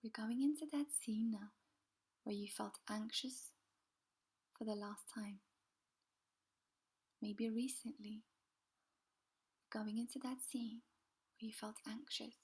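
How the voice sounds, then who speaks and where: Woman, meditation guide; slow breathy whisper, flat and calm delivery. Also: on the high side. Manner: soft, slow, soothing whisper.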